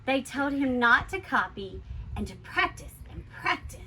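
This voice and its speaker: A woman reads a children's story aloud in a bright, slightly high voice. Her delivery is expressive and emphatic, as a teacher would read to a class. Faint noise sits behind the voice. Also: unhurried. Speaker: elementary school teacher reading a picture book aloud to pupils.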